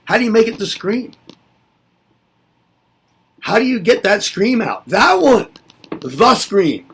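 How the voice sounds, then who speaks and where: A man's voice: high-pitched, very animated, slow pace, fairly bright tone, a little hiss or hum. A man giving a reflective spoken lesson, musing aloud and asking himself rhetorical questions before answering them. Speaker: presenter.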